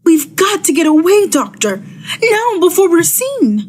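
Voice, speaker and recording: A woman's voice: very high voice, big pitch swings, unhurried, darkish tone, a little hiss or hum, heavily acted. A young woman playing a frightened, distrustful woman in an old-style radio thriller, anxious and melodramatic. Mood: anxious, hurried. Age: young adult. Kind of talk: 1940s radio thriller acting.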